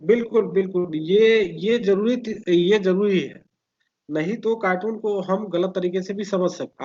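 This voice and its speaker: A man with an Indian accent explaining political ideas conversationally as an interview guest, often halting and repeating phrases as he searches for words. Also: high-pitched, lo-fi sound.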